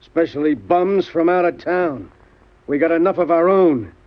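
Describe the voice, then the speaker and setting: A tough American police lieutenant, acted by a man with a fairly high voice, bullying and curt as he questions and orders people about. It sounds like a dull, old radio crime drama recording. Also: expressive, normal speed, close to an everyday voice. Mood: hard, accusing. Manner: barking orders, curt interrogation.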